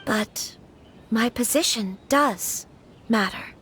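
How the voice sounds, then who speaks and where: An actress playing a gentle young noblewoman in a video game, earnest and polite, with a hesitant way of talking. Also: on the high side, takes it slowly, a little hiss or hum. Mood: pensive, hesitant. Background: music.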